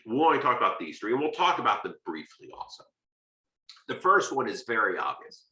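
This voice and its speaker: Bright-voiced American man lecturing, echoey room. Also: mid-range voice, very animated, brisk. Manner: conversational and emphatic, punctuating points with rhetorical questions. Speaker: literature lecturer and video host. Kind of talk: informal explanatory lecture.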